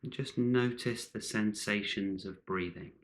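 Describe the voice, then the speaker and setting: A man leading a guided meditation in calm, measured short phrases with long pauses between them. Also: on the low side.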